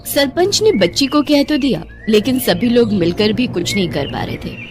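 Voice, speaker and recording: A woman's voice: a little high, sing-song, moderate pace. A woman storyteller giving scripted narration of a children's bedtime story. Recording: music behind the voice.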